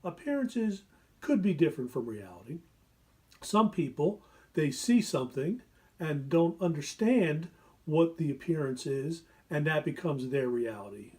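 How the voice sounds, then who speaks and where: A man in his fifties answering interview questions deliberately, often posing and answering his own questions. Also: a little high, very animated, warm tone. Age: 50s. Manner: deliberate, poses rhetorical questions.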